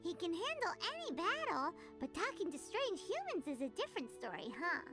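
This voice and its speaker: A woman's voice: huge pitch swings, a little fast, full character voice. A woman voicing a tiny, cheeky, childlike fairy companion in a video game, perky and teasing. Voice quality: squeaky, childlike. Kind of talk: English video game voice acting. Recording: music behind the voice.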